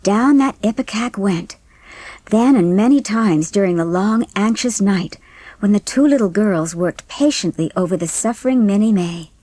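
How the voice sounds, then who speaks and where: A woman narrator reading a scripted storybook in a measured, expressive way. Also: mid-range voice, dry recording.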